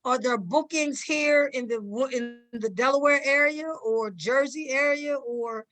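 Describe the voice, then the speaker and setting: Bright-voiced woman, slightly high-pitched. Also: measured pace, soft background music. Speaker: stage play cast member, guest. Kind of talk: casual panel conversation.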